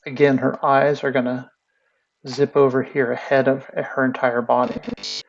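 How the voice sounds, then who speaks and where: A man teaching animation in a casual screen-share tutorial, thinking aloud in a relaxed way. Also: mid-range voice, normal speed, vocal fry. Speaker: animation instructor. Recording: muffled lo-fi audio with faint music.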